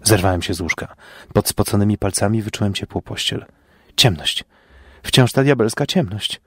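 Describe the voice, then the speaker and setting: Low, gravelly man narrating fiction. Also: normal speed, no echo, faint music behind. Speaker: storyteller, audio show host. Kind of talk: dramatic first-person fiction narration.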